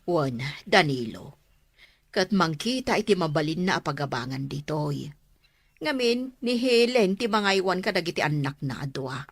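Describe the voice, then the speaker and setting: A woman's voice: sits low, sing-song, moderate pace, dry recording, exaggerated voice. A woman playing an older, frank, advice-giving village aunt in an Ilocano radio drama. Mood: frank, gossipy.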